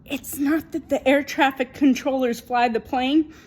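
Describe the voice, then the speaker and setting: A woman giving an unscripted opinion monologue in an emphatic, repetitive, halting way. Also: sits high, fairly even intonation, a little mellow, slight background noise. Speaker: video commentator.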